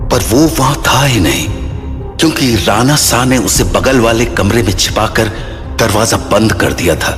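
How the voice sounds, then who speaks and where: A man's voice: mid-range voice, measured pace, a bit bright. A man narrating a scripted horror story as the storyteller of an audio drama. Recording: long reverb with music bed.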